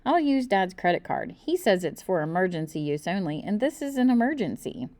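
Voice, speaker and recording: A woman's voice: mid-range voice, very animated, brisk, mellow, no echo, natural voice. A woman voicing a confident know-it-all schoolboy in a children's storybook, reciting facts matter-of-factly. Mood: assured. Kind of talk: children's storybook read-aloud.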